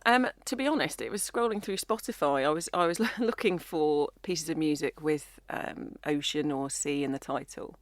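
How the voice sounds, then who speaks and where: A woman singer being interviewed on the radio, relaxed and self-deprecating, often repeating words while she thinks. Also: deep voice, moderate pace, hardly any pauses, no echo. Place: radio studio. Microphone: studio microphone.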